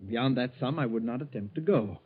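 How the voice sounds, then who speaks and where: Man acting overconfident male bookkeeper, husky voice, earnest halting delivery, 1940s radio drama, phone-thin old recording. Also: mid-range voice, phone-quality sound, close to an everyday voice. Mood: confident, secretive. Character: scheming, overconfident bookkeeper with a secret plan.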